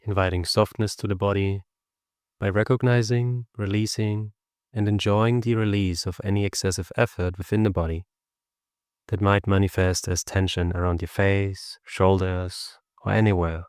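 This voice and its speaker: A man leading a guided meditation as a teacher, speaking gently and instructively with long pauses. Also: deep voice, steady tone, smooth, no room sound, clean studio sound.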